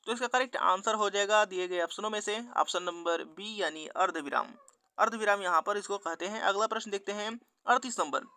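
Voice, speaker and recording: Bright, high-pitched man teaching quiz questions. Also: clean tone, no echo. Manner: methodical, formulaic question-then-answer delivery. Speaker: exam-prep teacher reading quiz questions and answers. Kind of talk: instructional mock-test narration.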